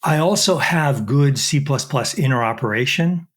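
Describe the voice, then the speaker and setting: A man's voice: mid-range voice, expressive, normal speed, fairly bright tone. A middle-aged man, a chemistry professor, explaining technical work in an interview with frequent fillers and restarts.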